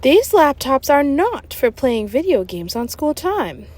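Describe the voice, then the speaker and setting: Female reader as mischievous grade-school teacher in a kids' storybook; instructive, gleeful, very animated delivery. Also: high-pitched, unhurried, pitched above the performer's own voice. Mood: brisk, explanatory.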